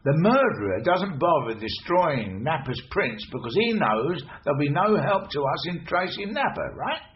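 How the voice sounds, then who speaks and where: An actor playing a grumpy, bossy, conceited police detective in a radio drama, brusque and scornful. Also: male voice, fairly high pitch, big pitch swings, moderate pace, lo-fi sound, a little hiss or hum. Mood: gruff, impatient.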